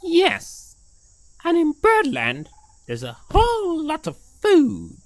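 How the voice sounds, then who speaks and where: A woman voicing a plump pigeon in a children's cartoon, reluctant but obliging, with a drawn-out, expressive delivery. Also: high-pitched, no room sound, full character voice. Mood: boastful, relishing.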